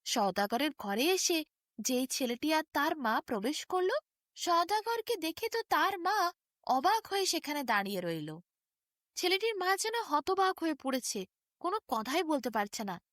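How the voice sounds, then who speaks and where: High, animated woman storyteller. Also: a little slow, no room sound, studio quality. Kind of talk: expressive bedtime fairy-tale narration.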